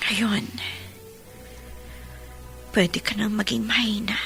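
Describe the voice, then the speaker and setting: An actress playing a consoling younger sister-in-law in a radio drama, with a soothing, emotional and often hushed delivery. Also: female voice, medium pitch, flat delivery, takes it slowly, gravelly, heavily acted. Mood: hushed sorrow. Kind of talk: melodramatic Tagalog radio drama acting. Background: music.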